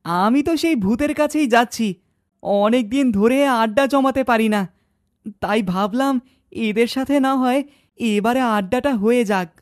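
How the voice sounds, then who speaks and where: A cocky little village boy from a cartoon, played by a voice actor, bossy and old beyond his years. The voice is mid-pitched for the part and recorded very dry. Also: moderate pace, dry recording, natural voice. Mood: self-assured. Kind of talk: cartoon dialogue acting.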